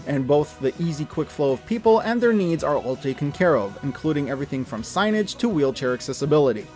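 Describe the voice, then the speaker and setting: Male review host, fairly high voice, casual and emphatic scripted narration, enthusiastic, a little sweary, light music bed. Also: a little mellow.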